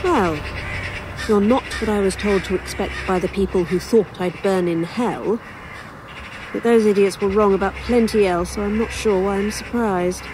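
A woman's voice: mid-range voice, steady tone, moderate pace, background noise, natural voice. A woman playing a defiant, weary dead writer in an audio horror drama, with a British accent and a dry, wry delivery. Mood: resigned, wry.

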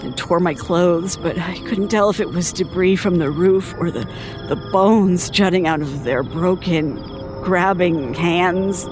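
An actress playing a traumatized survivor in an audio horror drama, telling a nightmarish ordeal in halting, broken phrases. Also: female voice, fairly low pitch, over music, natural voice. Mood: shaken, anguished. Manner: halting, haunted, breaking off and repeating words. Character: traumatized woman survivor recounting a nightmarish attack by neighbors. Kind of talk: audio horror drama acting, testimony monologue.

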